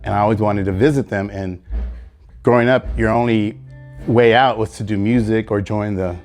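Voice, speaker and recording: A musician speaks in a low, steady voice. He sounds reflective. Soft guitar music plays behind him. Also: normal speed. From Pecos, Texas. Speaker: musician, bassist. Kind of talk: documentary interview.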